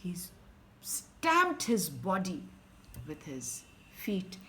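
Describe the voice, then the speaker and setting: Indian woman storyteller for kids, lowish voice, expressive, faint room ambience. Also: very slow, a little hiss or hum. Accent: Indian English. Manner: dramatic, swings between loud emphasis and soft whispers. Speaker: storyteller for children. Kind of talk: expressive bedtime story reading.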